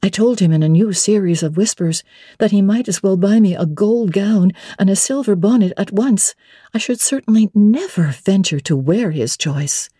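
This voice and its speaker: A woman narrating classic literature as a dramatic audiobook reading, measured, expressive and theatrical. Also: mid-range voice, breathy edge, dry recording, clean studio sound.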